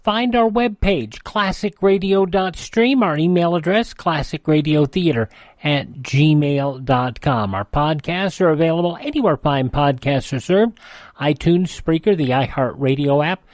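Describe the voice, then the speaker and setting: A man's voice: on the high side, measured pace, darkish tone, no room sound. A man hosting a radio show, reading a scripted announcer outro.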